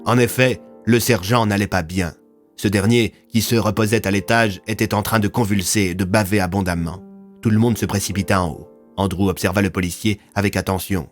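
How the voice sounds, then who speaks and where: A man narrates a scripted fiction serial as storyteller. His voice is on the low side, and music plays faintly behind it. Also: fairly even intonation, brisk.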